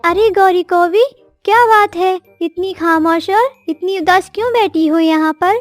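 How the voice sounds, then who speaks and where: A smug little female songbird from a children's cartoon, voiced by a woman, talks at a very high pitch. She is chatty, idle and boastful, and light music sits behind her. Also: unhurried, no echo. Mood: concerned. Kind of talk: children's animated story acting.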